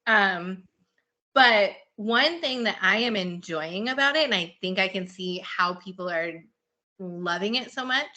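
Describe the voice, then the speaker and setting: A woman's voice: medium pitch, lively intonation, a little slow, bright, forceful tone. A woman hosting a podcast, talking about books in an informal, enthusiastic, conversational way. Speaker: podcast host. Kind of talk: casual book chat.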